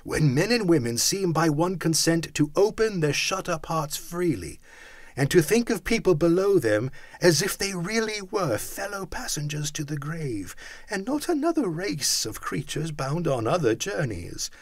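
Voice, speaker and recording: A cheerful young man pleads earnestly, as a male reader gives him voice. His pitch rises and falls with feeling, recorded close and dry. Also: sing-song, measured pace, no room sound, exaggerated voice.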